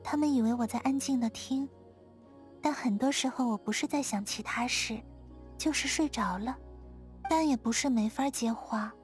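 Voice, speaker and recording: A dreamy young moon maiden from a video game, voiced by a woman, pitched fairly high. She is quiet and aloof, talking gently and without hurry, with music playing behind her. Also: normal speed, close to an everyday voice. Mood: calm, detached. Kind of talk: scripted video game character dialogue. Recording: studio voice over background music.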